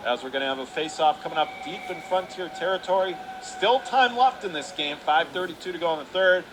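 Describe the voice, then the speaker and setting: A man's voice: on the high side, a little fast. A man calls live ice hockey play-by-play in urgent, clipped phrases. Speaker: radio play-by-play hockey announcer. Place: indoor ice hockey arena. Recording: clearly noisy live rink recording.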